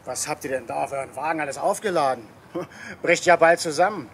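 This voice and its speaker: A man's voice: a little high, a little fast, fairly bright tone, slight background noise. An actor playing a friendly, teasing policeman who is a pal to children, in a radio play. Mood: jovial teasing. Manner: teasing, jovial.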